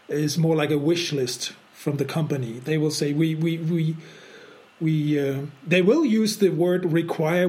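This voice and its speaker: A man from Denmark co-hosting a casual podcast, talking haltingly with many repeated words and restarts. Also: fairly high pitch, a little mellow. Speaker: podcast co-host.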